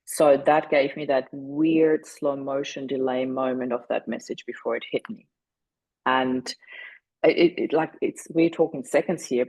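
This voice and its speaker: Lady in her 40s on a podcast, gravelly voice, earnest and reflective. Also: a bass voice, darkish tone, no room sound, rough recording. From Austria. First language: German. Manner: earnest, reflective, emotional retelling. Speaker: podcast guest. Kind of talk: interview storytelling.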